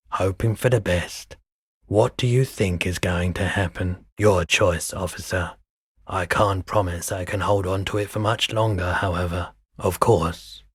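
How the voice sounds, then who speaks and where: A husky, deep-voiced, laid-back pawnbroker. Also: male voice, dry recording, clean studio sound. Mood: weary, matter-of-fact. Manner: unhurried, low-key, deliberate. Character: laid-back, world-weary male pawnshop owner, soft-spoken and wistful. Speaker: video game voice actor. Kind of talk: video game character dialogue.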